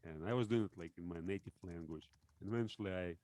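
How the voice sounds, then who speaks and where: A man with a very low, mellow voice. He speaks haltingly in casual conversation, often searching for the right word and restarting. His warm, deep tone carries his thoughts slowly. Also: no room sound. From Russia. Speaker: speaking club organizer. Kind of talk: casual discussion.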